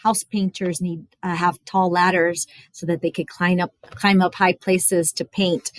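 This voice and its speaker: A woman reading a story aloud to young children, expressive and dramatic, with instructive explanatory asides. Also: on the low side, measured pace, dry recording.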